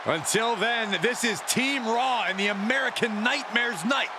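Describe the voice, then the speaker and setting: A man's voice: extremely high pitch, unhurried, crowd noise. A man playing a dramatic wrestling announcer in a video game, commentating with a raised voice. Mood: dramatic, hyped. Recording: noisy arena background.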